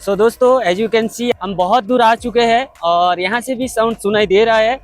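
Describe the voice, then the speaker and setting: A man with a distinctly high voice hosts a casual outdoor product test. He talks conversationally, as a video host does, with faint music behind him. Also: moderate pace.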